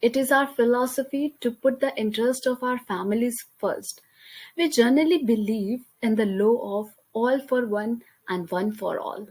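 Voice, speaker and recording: A woman's voice: fairly high pitch, moderate pace, darkish tone, breathy edge. A young woman from India with an Indian accent, a native Hindi speaker, reading a class presentation over slides with careful pacing and small slips. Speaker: student presenter. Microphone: computer mic, voice-over on slides.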